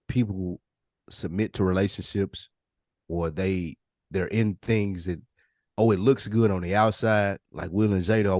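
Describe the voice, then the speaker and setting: A man's voice: fairly low pitch, a little mellow, no room sound, studio quality. A man co-hosting a podcast, talking casually in an earnest, emphatic way and repeating his points. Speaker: podcast co-host. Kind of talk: casual panel discussion.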